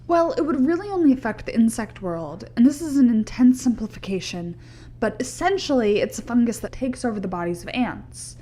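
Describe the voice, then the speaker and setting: A young woman playing a skeptical science graduate student in an audio drama, measured, dry and polite. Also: on the high side, animated, mellow. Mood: skeptical, matter-of-fact.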